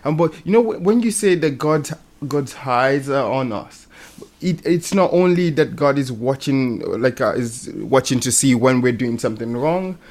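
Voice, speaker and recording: A man's voice: mid-range voice, animated, moderate pace, darkish tone. A man in a casual faith discussion, speaking hesitantly and often repeating words as he thinks. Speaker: panelist on a religious programme.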